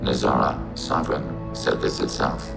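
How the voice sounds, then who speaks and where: An actor voicing a calm, logical synthetic soldier, speaking in flat, precise, formal sentences. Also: male voice, extremely low pitch, measured pace, creaky, heavily acted. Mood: explaining. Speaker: squadmate. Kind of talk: video game voice acting. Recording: game audio with music behind.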